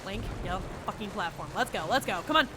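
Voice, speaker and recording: A woman's voice: mid-range voice, animated, quick pace, background noise. A young woman, a little stuffy from allergies, chatting sarcastically to her viewers and the game while streaming. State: sniffly from allergies. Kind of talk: casual let's-play commentary.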